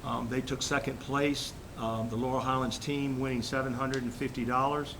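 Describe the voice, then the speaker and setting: A man with a head cold giving a formal superintendent's report at a school board meeting, halting with fillers and restarts. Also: little pitch movement. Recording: noisy room recording with rumble.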